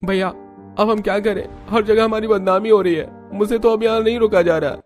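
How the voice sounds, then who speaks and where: A man's voice: high voice, fairly even intonation, brisk, soft background music, lightly acted. A man playing a remorseful young village husband and son in a cartoon story, earnest and pleading. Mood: dejected. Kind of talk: Hindi cartoon story acting.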